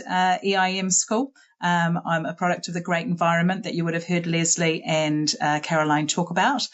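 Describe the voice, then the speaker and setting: A middle-aged woman with a New Zealand accent giving an online school presentation, brisk with many fillers. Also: on the low side, highs cut off. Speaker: head of college presenting.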